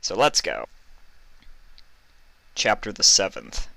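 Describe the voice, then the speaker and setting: A man's voice: low-pitched, big pitch swings, slow pace, gravelly, pushed and bright, no echo. A man narrating a written fan story as its reader.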